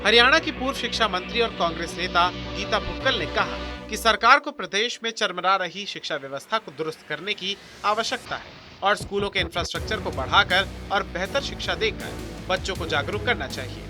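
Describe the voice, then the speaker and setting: A man reading a scripted news report as the narrator. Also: high-pitched. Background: music bed.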